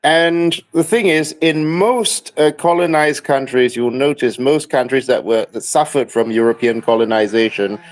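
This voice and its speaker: A man from Malaysia with a medium-pitched voice speaks in a live online debate. He raises objections politely, in a measured and somewhat halting way. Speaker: debate opponent, critic. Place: live online audio chat room.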